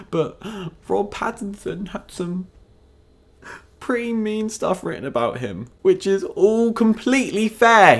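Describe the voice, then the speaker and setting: Young english guy doing sarcastic news rants on his vlog, mock outrage, middle-pitched voice. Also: fairly bright tone. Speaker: vlogger. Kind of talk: comedic news commentary.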